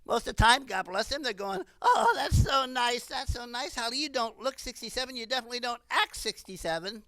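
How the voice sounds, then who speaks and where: Woman, sixties, stand-up comic, high-pitched, animated and rambling, bright voice. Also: fairly quick, no room sound. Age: 60s. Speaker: stand-up comedian. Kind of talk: stand-up comedy monologue.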